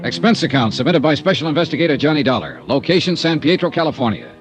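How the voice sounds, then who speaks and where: A quick hard-boiled investigator, lively pitch, dry and probing, old radio show. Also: male voice, over music. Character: confident hard-boiled male insurance investigator. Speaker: insurance investigator and narrator. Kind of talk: 1950s radio drama with narration.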